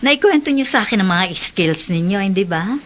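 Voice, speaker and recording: A woman's voice: mid-range voice, lively intonation, moderate pace, slight background noise, natural voice. An actress with a Filipino accent playing a dedicated, compassionate, middle-aged woman doctor in a radio drama. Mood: encouraging. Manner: earnest, reassuring.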